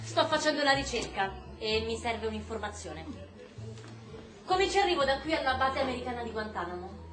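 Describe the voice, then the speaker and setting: Woman acting Ellen, a high-voiced, stubborn journalist. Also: expressive, fairly quick, over music, close to an everyday voice. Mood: determined. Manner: insistent, defensive. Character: Ellen, stubborn patriotic American woman journalist, grieving and indignant. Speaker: radio drama actor. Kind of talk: Italian theatrical radio drama dialogue. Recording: roomy stage-like echo.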